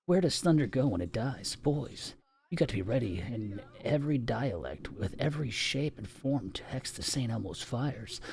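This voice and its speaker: A man's voice: mid-range voice, vocal fry, a little mellow, pitched above the performer's own voice. A man doing a fervent lightning-rod salesman. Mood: preaching. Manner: fervent, sermonizing, theatrical.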